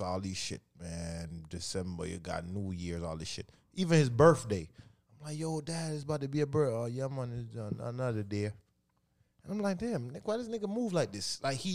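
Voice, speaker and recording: A man's voice: medium pitch, darkish tone. Blunt, profane man in his thirties. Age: 30s. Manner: blunt, profane, emphatic storytelling. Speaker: podcast co-host. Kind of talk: casual chat.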